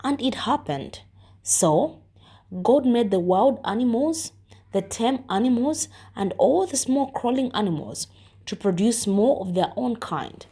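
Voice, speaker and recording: A woman's voice: fairly high pitch, expressive, measured pace, mellow, breathy edge. A woman reading scripture aloud as scripted narration. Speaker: volunteer scripture reader.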